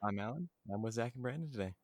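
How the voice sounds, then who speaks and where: A young man hosting a casual podcast, relaxed and easygoing in how he talks. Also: medium pitch, fast, no echo, studio quality. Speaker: podcast host. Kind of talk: casual chat.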